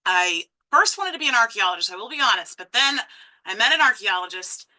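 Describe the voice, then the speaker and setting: Bright-voiced woman telling her story. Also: mid-range voice, very animated, fairly quick, low-quality recording. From Massachusetts, USA. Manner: warm storytelling with frequent ums and restarts. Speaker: conservation director and speaker. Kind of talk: personal talk to an audience.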